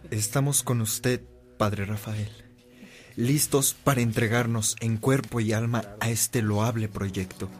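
An actor playing a loyal, eager priest in a radio drama, respectful in manner, with a Mexican accent. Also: male voice, fairly low pitch, fairly even intonation, a little slow, natural voice. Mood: devoted, playful.

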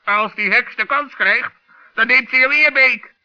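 A man's voice: very high voice, a little slow, vocal fry, pushed and bright, voice pushed up, heavily acted. A wise raven, grumbling and grave, made for a children's radio play. He scolds sternly, and the recording is dull and old.

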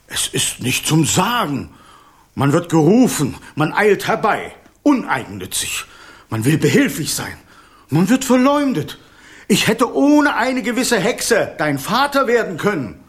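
An actor in a radio play voicing an enchanted golden snake king, courteous, pompous and easily indignant, with a husky, breathy voice. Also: high-pitched, big pitch swings, measured pace, exaggerated voice. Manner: theatrical, pompous, wheedling.

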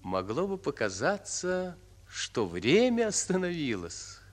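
A man narrating a radio drama in a solemn, deliberate, theatrical style. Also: on the high side, wildly swooping pitch. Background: music.

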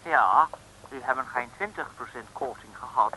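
A man's voice: medium pitch, moderate pace, sharp tone, slight background noise, low-quality recording. An actor playing a prim male sidekick in an old radio comedy who mixes English phrases into his Dutch. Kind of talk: 1950s radio comedy acting.

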